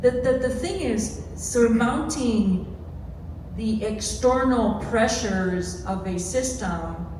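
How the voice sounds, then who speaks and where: A woman speaking off the cuff as a panelist and community organizer, with halting, emphatic phrasing that repeats words. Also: fairly high pitch, a little mellow. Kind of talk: panel discussion answers, unscripted. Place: large echoey room at a live panel event. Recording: echoey hall PA, distant, faint noise.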